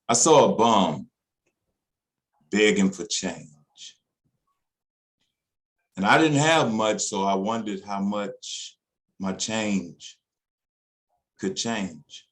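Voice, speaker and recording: A man reciting spoken word poetry in a deliberate, dramatic way with emphatic pauses. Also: fairly low pitch. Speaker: poet.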